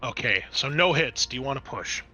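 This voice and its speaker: A man's voice: mid-range voice, expressive, a little fast, sharp tone, faint music behind. A man acting as game master, casually explaining rules and narrating a tabletop game.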